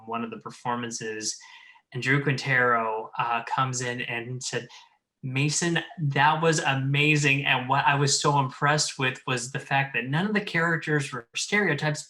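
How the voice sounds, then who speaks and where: A man's voice: medium pitch, bright, forceful tone. A man chatting casually and earnestly in a panel looking back on a project, as its director and writer. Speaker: director, writer and producer of an audio drama. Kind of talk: casual retrospective panel chat.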